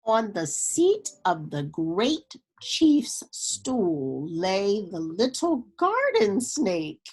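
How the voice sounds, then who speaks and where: Slow-paced woman reading a storybook. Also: mid-range voice, big pitch swings, slightly rough. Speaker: storybook reader. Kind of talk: read-aloud storytelling for children.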